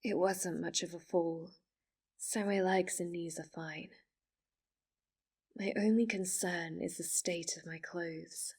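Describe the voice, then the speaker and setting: Woman acting a slow, formal, possessive fox spirit. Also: lowish voice, fairly even intonation, darkish tone, breathy edge, far from the mic, exaggerated voice. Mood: calm, reassuring. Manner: formal, measured, composed wording. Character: female fox spirit, polite, obsessively devoted, quietly menacing. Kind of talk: ASMR roleplay acting.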